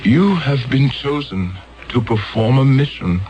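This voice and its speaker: Radio drama: male actor as a grave alien elder, slow and lively in pitch. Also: a little hiss or hum, lightly acted, low-quality recording. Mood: solemn. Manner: solemn, measured.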